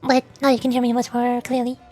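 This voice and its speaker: A woman's voice: on the high side, monotone, fast, a little mellow, faint music behind. A giggly woman streamer chatting casually to her viewers on a livestream.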